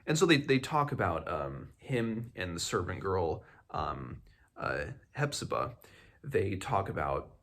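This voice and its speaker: A man hosting a book read-along, talking casually and haltingly as he thinks aloud. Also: low-pitched, big pitch swings, a bit bright.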